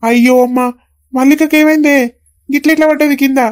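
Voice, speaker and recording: An earnest young son in a cartoon played by a woman lowering her voice, speaking anxiously in a Telangana Telugu accent. His pitch sits far below her own squeaky voice, though still fairly high. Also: steady tone, quick pace, natural voice. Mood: worried. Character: adult son, worried young man.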